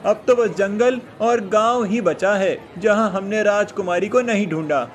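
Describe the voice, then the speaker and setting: A man's voice: high voice, a little slow, a faint crowd, a little hiss or hum. A man voicing a weary, grumbling royal soldier in a Hindi cartoon story.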